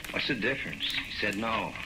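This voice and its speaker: An old, noisy 1940s radio drama recording. a weary male lawyer with a lowish voice, blunt and measured in his delivery. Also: big pitch swings. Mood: flat, weary.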